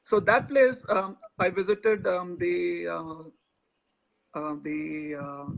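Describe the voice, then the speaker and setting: A man, speaking as a guest in a live interview, pausing often with filler sounds. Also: high-pitched, steady tone, vocal fry, low-quality recording. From India. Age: 40s. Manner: hesitant, many filler sounds.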